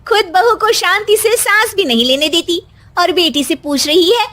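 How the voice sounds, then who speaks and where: A woman voicing a cheeky, quarrelsome young Indian daughter-in-law with quick comebacks in a cartoon story. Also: very high voice, fairly bright tone. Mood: mocking.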